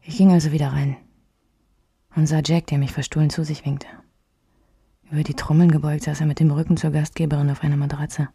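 An actress playing a woman recalling her bohemian youth in an intimate radio memoir, breathy and raspy, at times close to a whisper. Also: sits low, level tone, brisk, long pauses, dark tone, no echo, full character voice. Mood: reflective.

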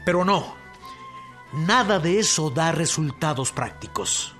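Animated, stern Mexican governor. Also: male voice, fairly high pitch, takes it slowly, soft background music. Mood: exasperated. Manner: formal, rhetorical. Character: stern, exasperated male Mexican state governor. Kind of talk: dramatized radio oratory.

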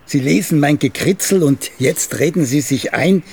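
An actor in a radio drama playing an old, cynical, evasive writer who grumbles sardonically in a husky, creaky voice. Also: male voice, a little high, moderate pace, a little mellow. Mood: weary, philosophical.

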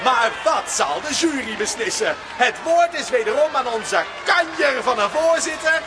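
A man playing a talent-show presenter in a radio drama, shouting to work up the audience over a crowd. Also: very high voice, lively intonation, normal speed, loud music behind the voice. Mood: rousing. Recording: noisy live venue with loud crowd.

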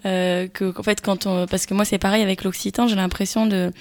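A woman's voice: medium pitch, flat delivery, fairly quick. A woman in a casual radio discussion, talking in a thoughtful, rambling way and often correcting herself. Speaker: radio show panelist.

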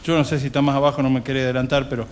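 A man's voice: medium pitch, level tone, very fast. An Argentine man hosting a radio show, reading out headlines and bantering about politics. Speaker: radio host. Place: live radio broadcast from a bar. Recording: faint ambient noise behind.

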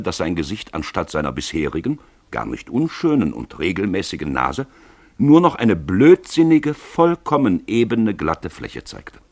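Deep, husky male radio narrator. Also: no echo. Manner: theatrical, expressive storytelling with emphatic stretched words. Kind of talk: dramatic literary narration for radio play.

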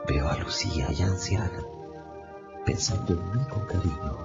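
A condemned lawyer's last thoughts in a radio drama. The voice is extremely low and creaky, solemn and serene, with a prophetic gravity. Loud music plays behind. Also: male voice, moderate pace, dull audio. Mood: serene, tender.

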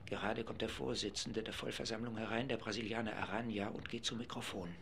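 A man's voice: lowish voice, fairly even intonation, gravelly, a bit bright. An actor playing a male radio reporter giving live commentary from a packed assembly hall in a radio play. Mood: measured. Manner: brisk, informative, narrating events.

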